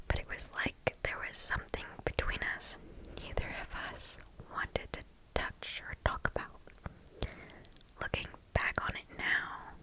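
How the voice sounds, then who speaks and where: Asmr host whispering stories real slow and breathy, super close to the mic. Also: low-quality recording. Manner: slow, deliberate, hushed. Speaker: host reading stories aloud. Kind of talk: ASMR whispered story reading. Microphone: close microphone.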